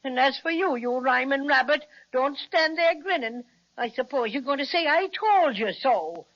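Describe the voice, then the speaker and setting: A man's voice: very high-pitched, expressive, normal speed, fairly bright tone, exaggerated voice. A man acting a small, blustery male cinnamon teddy bear in an old-fashioned children's radio serial. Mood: indignant. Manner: blustery, easily affronted. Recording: dull lo-fi old radio recording.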